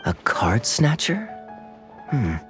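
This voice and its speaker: An actor playing a suave, crafty young knight in a video game, smooth, teasing and unhurried. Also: male voice, medium pitch, huge pitch swings. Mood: curious, sly. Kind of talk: animated game voice acting. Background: faint music.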